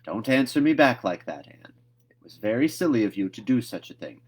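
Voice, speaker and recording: A man's voice: mid-range voice, very animated, fairly quick, breathy edge. Man reading as a stern, exasperated older woman. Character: stern, vexed older woman scolding a child.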